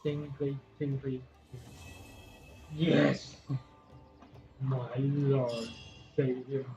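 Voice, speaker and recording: A man's voice: medium pitch, little pitch movement, very slow, vocal fry, highs cut off, music in the background. A man chattering casually on a gaming livestream, tossing out repetitive, goofy exclamations.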